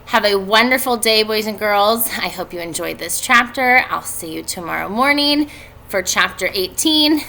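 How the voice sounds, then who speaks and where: A woman in her thirties, a schoolteacher speaking to children in a deliberate teacherly way, recorded at home on a webcam. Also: mid-range voice, animated, vocal fry, pushed and bright, a little hiss or hum, low-quality recording. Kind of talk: read-aloud and instructions for schoolchildren. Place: indoors at home by a fireplace. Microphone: computer webcam at desk distance.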